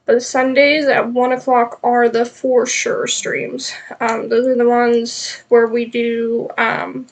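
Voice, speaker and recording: A woman with a stuffed-up nose chatting casually and rambling on a live knitting stream, with many ums. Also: a little high.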